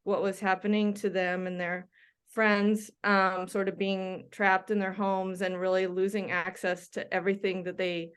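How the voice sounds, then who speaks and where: Chatty woman with a smooth voice. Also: medium pitch, flat delivery, measured pace. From Denmark. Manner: chatty, many ums and restarts. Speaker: guest, doctor and researcher. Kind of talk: conversational interview.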